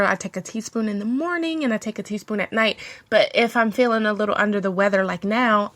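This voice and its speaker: Stuffed-up woman chatting on her radio show, nasal, kinda rambly with lots of ums, middle pitch. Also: a bit bright. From Washington, D.C. area. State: congested with a cold. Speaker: internet radio show host. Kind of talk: casual solo radio talk.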